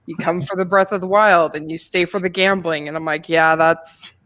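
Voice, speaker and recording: A woman with a fairly low voice chats casually on a podcast about games. She sounds giggly and self-deprecating, and the audio is slightly muffled. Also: steady tone, normal speed, a bit bright. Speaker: podcast co-host.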